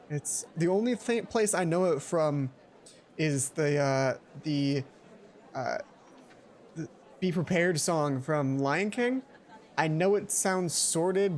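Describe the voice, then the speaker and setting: A young adult man reading a visual novel aloud as a let's play host, expressive, often correcting himself and dropping in casual commentary. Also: on the high side, a little hiss or hum. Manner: expressive, self-correcting reader. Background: game music.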